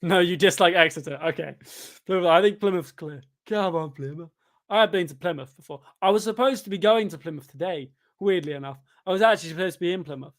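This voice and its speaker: A man's voice: high voice, fast. Chatty young man from Devon. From England. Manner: chatty and rambling, with sudden loud outbursts at chances. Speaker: guest fan on a football watchalong stream. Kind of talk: casual live-stream chat reacting to a match and reading chat.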